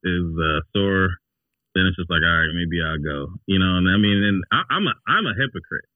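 A man's voice: low voice, expressive, brisk, no room sound. An American man as a podcast guest, chatting casually and reasoning things out aloud in slangy phrases. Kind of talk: casual conversation. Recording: thin phone-like radio sound.

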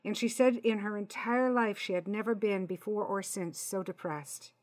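A woman's voice: medium pitch, normal speed. A middle-aged woman from Canada hosting a casual interview, chatty and agreeable, laughing easily. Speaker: host.

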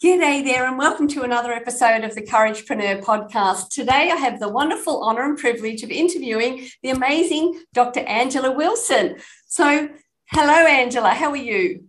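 A woman's voice: high voice, a little fast. A woman hosting a podcast interview, enthusiastic and effusive in her praise. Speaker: podcast host.

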